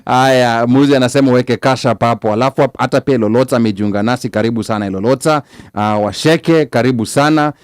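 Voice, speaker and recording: A man's voice: mid-range voice, quick pace, no echo, studio quality. A man hosting a live interview show, upbeat and repeating his words. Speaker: talk show host.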